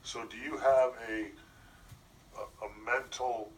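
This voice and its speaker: A man's voice: mid-range voice, fairly even intonation, slow, far from the mic, a little hiss or hum, poor audio. A man hosting a radio show, talking in a casual interview style. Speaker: radio host.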